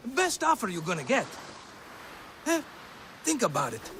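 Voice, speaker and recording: An actor playing a flamboyant middle-aged wheeler-dealer in a video game, cajoling and sentimental in a theatrical way. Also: male voice, very high voice, huge pitch swings, measured pace, pushed and bright, a little hiss or hum, full character voice. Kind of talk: video game cutscene acting.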